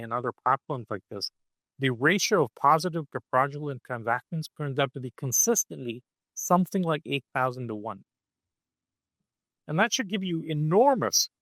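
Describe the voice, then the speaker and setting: A man of middle pitch speaks as a podcast guest, keen to explain, and keeps checking in with the listener with a quick "okay?". The sound is dry and close, with no room around it. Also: a bit bright, no echo. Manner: punctuates points with "okay?" and "right?". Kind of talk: animated conversational explaining.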